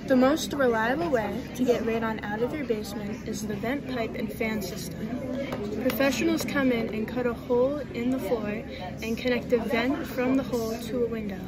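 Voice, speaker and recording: A teenage female student gives a scripted explanation. Her voice is somewhat high, and loud chatter fills the phone recording.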